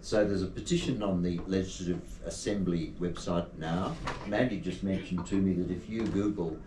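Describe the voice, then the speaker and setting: Deep-voiced man addressing a room. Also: fairly even intonation. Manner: earnest, measured, with ums and uhs. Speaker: community advocate. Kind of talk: short public address. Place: indoor venue at a poetry evening. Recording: echoey room, distant mic, noisy.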